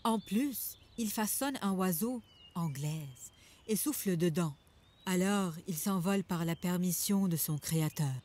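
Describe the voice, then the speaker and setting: Mellow devout cartoon believer. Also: female voice, on the low side, a little slow. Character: devout, tender, worried female believer. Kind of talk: animated cartoon acting.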